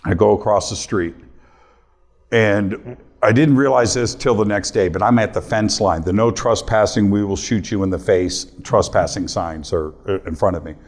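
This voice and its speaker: A man's voice: fairly low pitch, normal speed. A middle-aged man, a radio host, telling a story to a live audience and acting out his own quotes. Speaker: radio host giving a talk.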